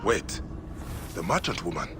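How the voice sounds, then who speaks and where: A grave, terse warrior. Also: male voice, sits low, very animated, measured pace, background noise. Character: grave adult male warrior, stern and weary. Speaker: protagonist. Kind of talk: video game voice acting.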